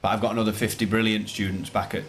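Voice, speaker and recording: A man with a fairly low voice talks as a teacher being interviewed. He is enthusiastic and a bit rambling, circling back over phrases, with noticeable noise in the background. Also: little pitch movement, racing. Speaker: primary school teacher, interview guest. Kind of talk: conversational interview answers.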